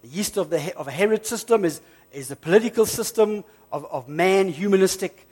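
A man preaching a sermon, emphatic and repetitive, slowing down on key phrases, a bit hoarse from flu. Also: high-pitched, a little mellow. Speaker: pastor.

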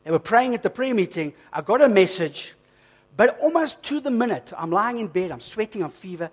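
High-pitched guy preaching, hoarse from the flu, slows right down to hammer points home. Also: very animated. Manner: emphatic, repeats phrases, slows for emphasis. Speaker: pastor. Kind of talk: sermon.

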